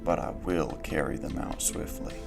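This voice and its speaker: A man playing a stern, dutiful inhuman sentinel in an audio drama, speaking formally and deadpan with a gravelly voice. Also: moderate pace, music in the background, heavily acted. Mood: flat, formal. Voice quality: very deep, gravelly. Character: stern, dutiful non-human sentinel of a secret containment facility.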